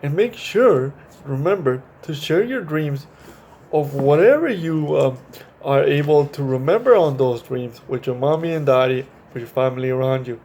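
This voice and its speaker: A man hosting a children's storytime, reading and chatting to young kids in a halting, repetitive way with simple explanations. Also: on the high side, lively intonation, darkish tone, a little hiss or hum. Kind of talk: reading a picture book and talking to young children.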